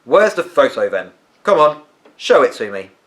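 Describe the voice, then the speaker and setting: A man's voice: mid-range voice, very animated, normal speed, voice pushed up, lightly acted. Man voicing Peyton, a weary, emphatic eccentric uncle. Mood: irritated, weary. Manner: irritated, musing, emphatic. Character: Uncle Peyton, weary, tetchy but kindly tall eccentric uncle. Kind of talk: storybook character voice.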